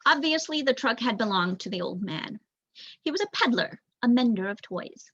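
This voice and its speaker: A muffled recording of a young woman narrating a story. Her voice is bright and fairly high, with a husky edge, and she reads expressively with the odd small stumble. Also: lively intonation, normal speed, dry recording. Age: young adult. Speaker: volunteer audiobook reader. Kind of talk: storybook narration read aloud.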